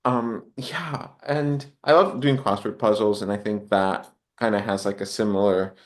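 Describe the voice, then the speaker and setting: A man's voice: lowish voice, steady tone, measured pace. Reflective man in casual conversation. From Honduras. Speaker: podcast guest. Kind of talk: casual interview chat.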